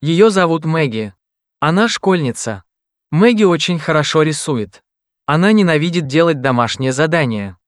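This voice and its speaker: A man's voice: fairly high pitch, no room sound, studio quality, natural voice. A man reading as a cheerful young male student. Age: young adult. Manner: simple, carefully spoken sentences. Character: young male student introducing his family. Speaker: voice actor in a language test recording. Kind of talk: slow scripted reading for learners.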